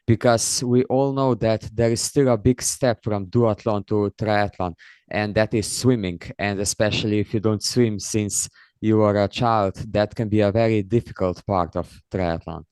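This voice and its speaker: A man's voice: on the low side, steady tone, moderate pace, a little mellow, smooth, dry recording. A man hosting a podcast who opens with an intro and then asks interview questions in long, structured sentences. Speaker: podcast host.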